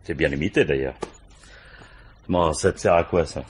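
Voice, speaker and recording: An actor playing a gruff, paternal, world-weary police commissioner in a French radio crime drama, muttering colloquially with dry irony. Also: male voice, extremely low pitch, moderate pace, slight background noise. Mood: ironic, probing. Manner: colloquial, muttering, dryly ironic.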